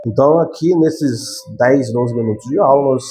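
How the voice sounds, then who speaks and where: A man's voice: mid-range voice, normal speed, mellow. A Brazilian man explaining law in a conversational lecture, often adding tag questions and correcting himself as he goes. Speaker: law teacher.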